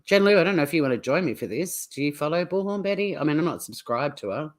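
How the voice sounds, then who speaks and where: A woman's voice: low-pitched, lively intonation, fast. A woman hosting a casual livestream, chatting to viewers in an informal, teasing way. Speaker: livestream host.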